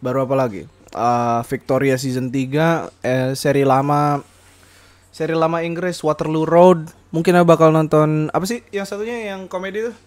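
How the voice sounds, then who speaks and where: A man's voice: on the high side, fairly even intonation, moderate pace. A young man hosting a casual podcast, rambling with many fillers and self-corrections and laughing often. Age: young adult. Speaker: podcast host. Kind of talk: casual solo podcast chat.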